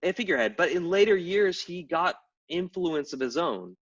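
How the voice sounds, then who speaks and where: A man's voice: on the high side, lively intonation, moderate pace. Man, middle-aged, thoughtful explainer, fillers and restarts, distant mic, muffled lo-fi sound. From Tidewater, Virginia. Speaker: guest, game writer and anthropologist. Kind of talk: casual explanatory interview talk. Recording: distant, dull lo-fi live stream audio.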